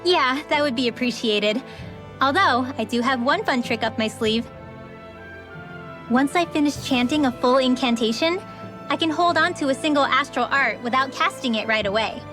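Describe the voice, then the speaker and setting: An actress playing a friendly, confident young mage and guide in a video game, explaining things readily. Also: female voice, sits high, normal speed, over music, lightly acted. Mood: explanatory, modest.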